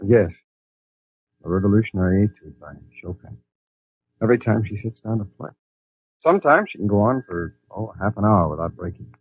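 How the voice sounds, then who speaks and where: A man's voice: fairly low pitch, animated, a little fast, pauses often, slightly rough. An actor playing an anxious, hesitant husband worried about his ill wife in a 1950s radio drama. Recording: tinny old radio recording with music.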